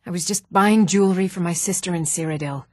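An actress playing an even-toned fantasy townswoman in scripted video game dialogue, with a measured, composed delivery. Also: on the low side, dry recording, studio quality, natural voice. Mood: guarded, composed.

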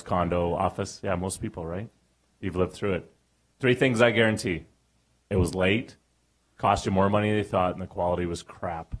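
Low-voiced middle-aged man pitching onstage. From Canada. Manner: conversational, pitching with rhetorical questions. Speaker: company executive, guest. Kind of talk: onstage interview. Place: small event stage with audience. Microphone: handheld mic.

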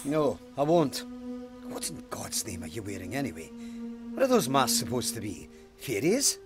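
Man acting an animated, stern police sergeant. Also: mid-range voice, moderate pace, over music, lightly acted. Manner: formal, emphatic, indignant. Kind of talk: audio drama acting.

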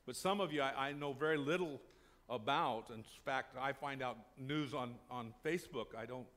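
A man's voice: mid-range voice, normal speed, fairly bright tone. An older man preaching a sermon in a small church, talking to the congregation in a conversational way and answering his own questions. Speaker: pastor. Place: small church sanctuary, indoors.

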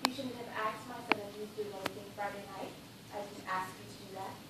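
A woman's voice: mid-range voice, steady tone, fast, lightly acted. Echoey, imperious female boss acted by a woman. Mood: defensive, irritated. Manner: commanding, clipped, emphatic. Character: imperious, exasperated, self-pitying busy female boss. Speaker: stage actor. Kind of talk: live stage play acting. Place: indoor theatre stage. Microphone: distant audience camera. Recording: echoey, noisy theatre recording from far off.

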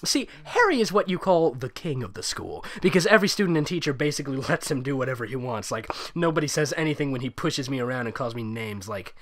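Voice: medium pitch, very animated, fast talker, few pauses. A host reading fan fiction aloud in a giggly, joking, mock-enthusiastic way, with comic commentary.

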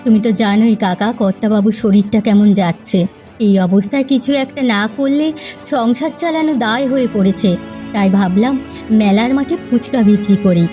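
A woman's voice: medium pitch, a little fast, dark tone, soft background music, close to an everyday voice. A woman playing a proud rich housewife fallen on hard times in a melodramatic cartoon tale, plaintive and dramatic. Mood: resolved.

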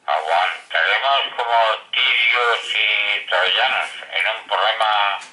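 A man with a Spanish accent from Spain, talking bluntly and gruffly as a repairman over a telephone line. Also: fairly low pitch, takes it slowly, sharp tone, slight background noise. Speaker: repairman taking a customer's call. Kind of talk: impromptu phone conversation.

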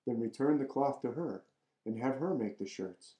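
Man doing a young tsar, regal, commanding, mellow tone, storybook. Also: mid-range voice, expressive, normal speed, echoey room, natural voice.

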